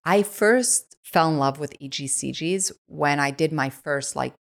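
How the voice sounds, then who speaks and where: A woman's voice: low-pitched, unhurried, creaky. A woman from Switzerland, a guest on a podcast, telling stories in an eager, casual way with many "like" fillers. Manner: enthusiastic, lots of "like" fillers. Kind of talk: casual conversational storytelling.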